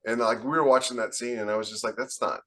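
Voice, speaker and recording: A man co-hosting a podcast in casual panel chat, telling things in an animated, rambling way. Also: medium pitch, fast talker. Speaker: podcast co-host, military science fiction author.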